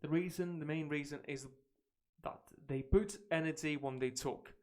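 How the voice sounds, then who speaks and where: Energetic Spanish man teaching English. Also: fairly high pitch, moderate pace. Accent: British-leaning English. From Spain. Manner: energetic, chatty, reacting to clips. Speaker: English teacher streaming live. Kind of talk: live-stream lesson, switching between English and Spanish.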